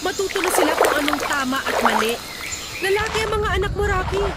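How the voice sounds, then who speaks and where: A woman's voice: extremely high pitch, animated, a little fast, heavy background noise. An actress playing a hurt, unforgiving wife and mother in an emotional, reproachful radio drama style. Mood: indignant, confrontational. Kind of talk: Filipino radio drama acting. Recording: loud music under the voice.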